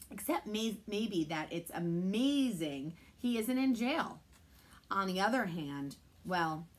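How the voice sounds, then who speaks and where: Librarian in her thirties, steady read-aloud voice, camera mic in a library room. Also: lowish voice, husky. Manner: steady, measured storytelling. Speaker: children's librarian. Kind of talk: reading a chapter book aloud.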